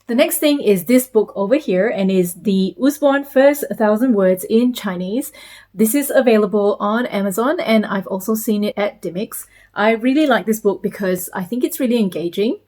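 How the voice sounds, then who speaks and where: Measured woman vlogger from Malaysia. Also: medium pitch, darkish tone. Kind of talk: scripted talk to camera.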